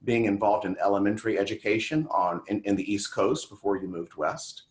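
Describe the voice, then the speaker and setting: A man's voice: fairly low pitch, fairly quick. A man hosting a conversational TV interview, speaking in an earnest, effusive and affirming way. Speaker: TV show host, interviewer.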